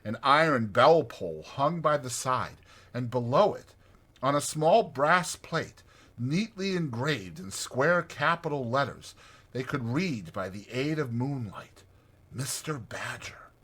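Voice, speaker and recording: A man's voice: mid-range voice, animated, takes it slowly, a bit bright, husky. A man reading a classic storybook aloud as a dramatized audiobook narrator.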